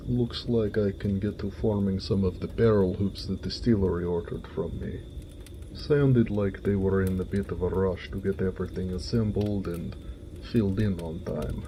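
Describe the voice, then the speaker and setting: A man voicing a gentle, hardworking orc blacksmith in an audio roleplay, weary and good-natured, musing to himself in a homely way. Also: sits low, a little hiss or hum.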